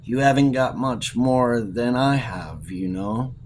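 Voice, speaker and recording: A man's voice: medium pitch, expressive, slow, a little mellow, slight background noise. Man reading as a plucky, wry young woman. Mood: wry, sheepish.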